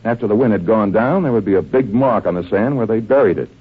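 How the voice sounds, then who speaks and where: An actor playing a genial, shrewd detective doctor in 1940s radio drama style, with a folksy, musing delivery. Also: male voice, fairly low pitch, animated, fast talker, husky. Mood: puzzled, deliberate. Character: genial, shrewd male detective doctor investigating a desert murder. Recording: muffled, narrow-band vintage radio recording.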